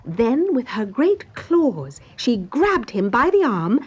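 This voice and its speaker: A woman reading a children's story as narrator, in an expressive, dramatic storytelling manner. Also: on the high side, slow pace, a little hiss or hum.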